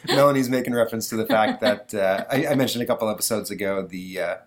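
A man hosts a casual podcast, talking in a slightly low voice. He rambles and restarts his sentences, with fillers all through. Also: quick pace. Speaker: podcast host. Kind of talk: casual chat.

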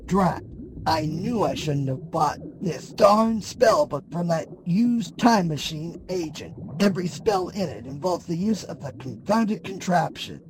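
A man playing a vain, grumbling wicked sorceress with an imperious, deliberate manner in a fantasy audio drama. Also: fairly high pitch, lively intonation. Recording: noisy with ambient sound behind.

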